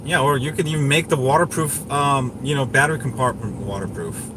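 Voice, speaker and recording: Rambling man over clear background noise. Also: medium pitch, a little fast. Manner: rambling, opinionated, repeats himself. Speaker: tech livestream host. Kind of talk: casual livestream chat, answering viewers.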